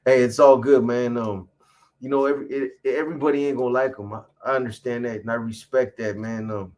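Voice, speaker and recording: A man in his fifties hosting a casual livestream, answering viewer comments in a laid-back, profane, slang-heavy way. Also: mid-range voice. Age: 50s.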